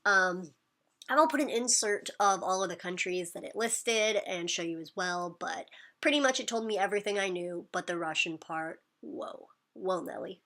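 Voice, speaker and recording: A woman's voice: on the low side, moderate pace, fairly bright tone. A woman talking casually to camera in a vlog, loose and chatty with lots of "like" and "um". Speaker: vlogger.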